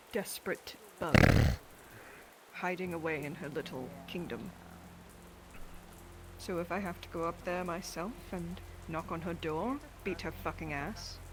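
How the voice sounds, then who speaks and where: A woman's voice: on the low side, lightly acted, poor audio. A woman playing a bold, vengeful female adventurer in improvised fantasy roleplay, talking in casual slang with some swearing.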